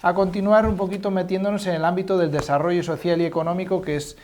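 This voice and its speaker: A man's voice: high voice, steady tone, fast talker, a little mellow. A male interview host who introduces his guest and asks questions in a formal way, with frequent fillers and repetitions. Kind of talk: interview hosting.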